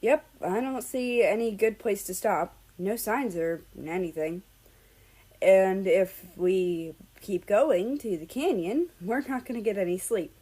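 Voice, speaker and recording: A woman voicing a playful, excitable young man on a road trip in a story reading, casual and a little bashful. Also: mid-range voice, measured pace, slightly rough, low-quality recording. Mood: tired, practical.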